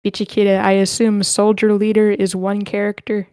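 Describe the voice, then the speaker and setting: A woman's voice: medium pitch, monotone, normal speed, mellow, clear, resonant tone, dry recording, clean studio sound. A woman hosting an online table read, talking casually and informally as she organizes the cast. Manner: informal, chatty. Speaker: host of online script table reads.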